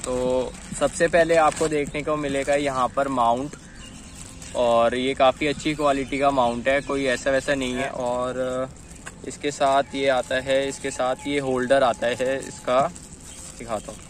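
A man's voice: mid-range voice, little pitch movement, measured pace, slight background noise. Chatty young man vlogging outdoors. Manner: chatty, addresses viewers as guys. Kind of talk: casual unboxing and test vlog. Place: outdoors in an open dirt field, later riding on a street. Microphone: phone camera, later a chest-mounted phone.

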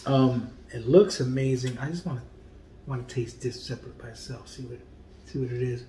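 A man in his forties, a comedian hosting a casual food review vlog, talking in a playful, animated way and dropping to quiet murmurs while he tastes. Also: medium pitch, a little fast, slight background noise. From Puerto Rican heritage. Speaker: food vlogger and comedian host. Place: home dining room indoors. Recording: echoey room.

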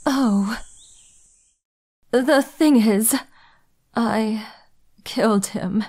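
A woman playing a guarded, gentle, melancholy young woman in an anime dub, soft-spoken and hesitant. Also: on the high side, very slow. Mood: wistful. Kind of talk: anime manga dub acting and narration.

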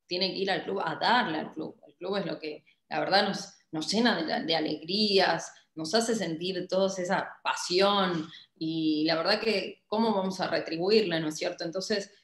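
A woman's voice: fairly low pitch, normal speed, hardly any pauses, roomy sound. A young woman with an Argentine accent, speaking on a video call as a guest, hesitant with many fillers and restarts. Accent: Argentine Spanish. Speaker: club director, guest. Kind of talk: casual interview on a video call. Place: home office, indoors. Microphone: laptop webcam mic on a video call.

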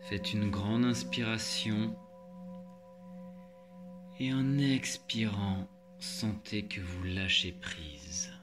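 A man leading a guided yoga relaxation as an instructor, giving calm, measured instructions with long pauses. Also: on the low side. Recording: loud meditation music with singing bowls. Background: meditative music, singing bowl tones.

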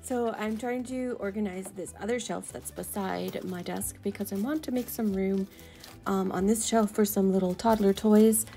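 Chatty woman with a gravelly voice. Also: mid-range voice, steady tone, normal speed, mellow. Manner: chatty, rambling with many fillers. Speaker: doll artist vlogger. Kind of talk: casual voice-over narration. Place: home craft room.

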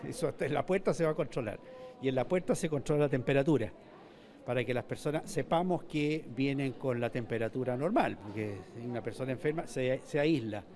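A man's voice: mid-range voice, a little fast, darkish tone. An older man with a Chilean accent, a mall manager answering a reporter's questions in a news interview, often restarting and repeating words. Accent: Chilean Spanish. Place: indoor shopping mall corridor. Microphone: reporter's handheld mic.

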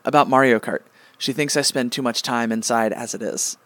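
A man's voice: medium pitch, normal speed, a bit bright, dry recording, natural voice. A man playing a petulant, bossy star god with an indignant, peremptory manner in a comedic radio play.

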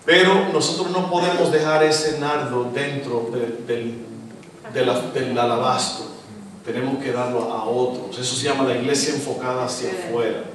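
A middle-aged Dominican man with a Dominican Spanish accent, preaching a sermon as guest pastor, animated and asking the congregation questions. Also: mid-range voice, moderate pace, far from the mic, a crowd in the background. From Dominican Republic. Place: church stage, indoors, large hall. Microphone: handheld mic. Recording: echoey hall, recorded from afar.

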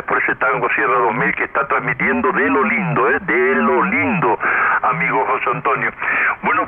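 Male ham radio operator, effusive and fond of tag questions, fry in the voice, noisy radio link. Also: medium pitch. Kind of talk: casual radio chat. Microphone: radio microphone. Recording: crackly narrowband radio transmission, clear noise.

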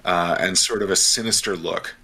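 A man's voice: low-pitched, steady tone, moderate pace, bright, forceful tone, husky. A man, casually talking as a tabletop game player. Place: cluttered home room, on a video call.

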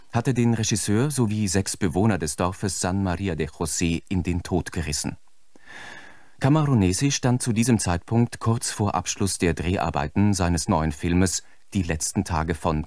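An actor playing a sober, formal broadcast presenter reading a scripted documentary introduction. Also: male voice, sits low, a little mellow, dry recording, clean studio sound, lightly acted.

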